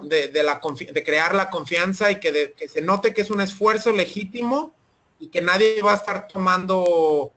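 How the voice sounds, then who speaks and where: High-voiced man explaining, many restarts. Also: a bit bright. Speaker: webinar presenter. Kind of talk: online seminar Q&A.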